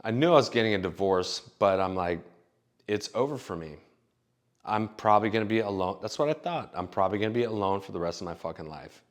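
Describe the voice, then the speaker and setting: A man's voice: lowish voice, animated, fast. A man in his late thirties telling stories in a rambling, profane, casual monologue full of fillers. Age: late 30s. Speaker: vlogger.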